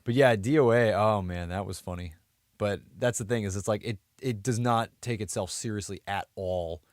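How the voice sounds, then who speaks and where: A man's voice: on the low side, moderate pace, dry recording, studio quality. A man co-hosting a podcast, chatting casually and giving opinions in a rambling, conversational way. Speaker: podcast co-host.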